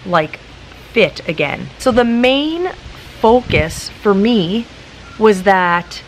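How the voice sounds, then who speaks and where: A woman in her late thirties talking calmly and practically to camera, chatty and casual, recorded outdoors in a garden with some wind. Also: mid-range voice, extremely slow, rough recording. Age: late 30s. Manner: calm, practical, chatty with many likes. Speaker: YouTuber. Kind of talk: casual vlog talk to camera. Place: outdoors in a garden in front of hedges.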